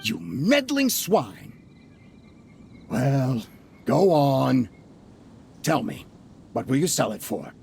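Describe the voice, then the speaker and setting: A pompous game merchant blusters in a gravelly, creaking voice. His melodramatic delivery swoops wildly in pitch and drags slowly over each indignant word. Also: huge pitch swings, a little mellow, slight background noise, full character voice. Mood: contemptuous. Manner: blustering, theatrical. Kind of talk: English video game voice acting.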